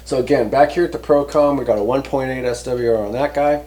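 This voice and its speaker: A man's voice: mid-range voice, fast, mellow. A man hosting a hobby video in a casual, rambling, hesitant way with dry, understated humor, walking through a product test. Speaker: host of a CB radio hobby channel.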